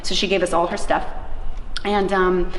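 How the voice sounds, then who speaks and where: A woman's voice: on the low side, flat delivery, normal speed. Woman telling stories in an echoey room. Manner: informal, acts out quoted dialogue. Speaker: interviewee, anti-trafficking worker. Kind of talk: conversational storytelling in an interview. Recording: echoey room from far off, faint noise.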